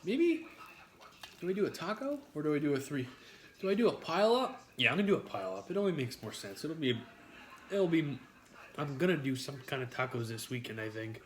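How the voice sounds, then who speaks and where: A man's voice: fairly high pitch, big pitch swings, fairly quick. A man with a New York accent eating on a live stream, rambling to his chat with frequent swearing and jokes. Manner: rambling, profane, jokey with chat.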